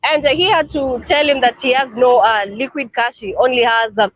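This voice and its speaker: A man's voice: extremely high pitch, steady tone, fairly quick, fairly bright tone, highs cut off. Hesitant man on a city street. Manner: hesitant, full of fillers. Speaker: guide showing city streets. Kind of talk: casual chat on a call.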